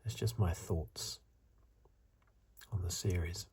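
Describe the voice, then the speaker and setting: A man in his fifties giving soft-spoken, whispery ASMR commentary in a hesitant, halting, unscripted way, with a breathy voice. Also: low-pitched, fairly even intonation. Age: 50s. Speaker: host of an ASMR video channel.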